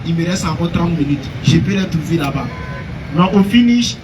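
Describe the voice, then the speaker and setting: A man preaching outdoors in a slow, halting way, drawing on everyday examples. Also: fairly high pitch, expressive, far from the mic, noisy background.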